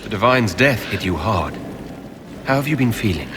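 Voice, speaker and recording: A man's voice: on the low side, lively intonation, moderate pace, background noise, close to an everyday voice. An actor playing a cold, haughty male fantasy leader in a video game, with clipped, dry delivery.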